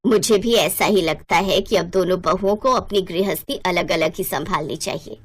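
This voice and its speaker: An older mother-in-law in a family bedtime tale played by a woman storyteller. She is loving yet easily exasperated, fretful and plaintive, in a lowered voice. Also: moderate pace, close to an everyday voice. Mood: resigned.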